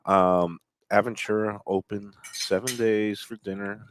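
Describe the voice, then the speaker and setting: A man's voice: on the low side, steady tone, a little slow, slight background noise. A man hosting a casual lunch talk show, affable and rambling with lots of fillers.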